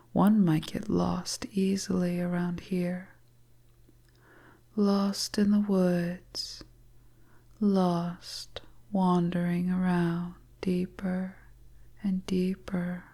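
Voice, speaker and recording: Seductive, enigmatic witch, female performer: slow breathy whisper, low and soft-toned, beguiling, for a hypnotic audio story. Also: exaggerated voice. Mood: teasing, luring. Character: seductive, enigmatic woodland witch fortune-teller, teasing and beguiling.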